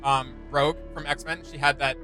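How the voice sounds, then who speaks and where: A man's voice: fairly high pitch, steady tone, normal speed, over music. A young man giving enthusiastic, slangy, casual commentary as he hosts a reaction video. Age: young adult. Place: indoor home studio room.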